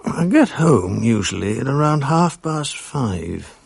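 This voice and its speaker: A gravelly, sleepless writer in his fifties. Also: medium pitch, very animated, slow pace, darkish tone, dry recording, full character voice. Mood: weary. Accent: British. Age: 50s. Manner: dry, self-mocking, gloomy. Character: weary bereaved middle-aged writer, sleepless and bitter. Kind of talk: radio drama narration.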